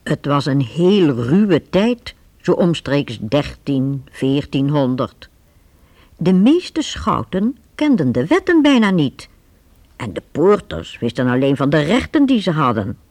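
A woman narrating a youth radio play in a measured storytelling manner. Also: deep voice, big pitch swings, darkish tone, dry recording.

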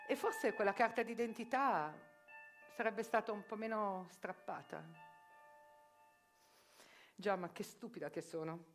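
A middle-aged woman, a journalist, performing a theatrical monologue in an ironic, self-questioning manner that rises to urgent outbursts. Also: mid-range voice. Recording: radio broadcast with faint music. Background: live music.

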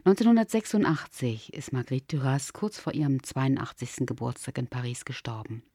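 A woman radio presenter reads a scripted introduction in a husky, mellow voice. There is a gravelly creak in it, and the studio sound is very dry. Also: rumbling low, a little fast, no room sound.

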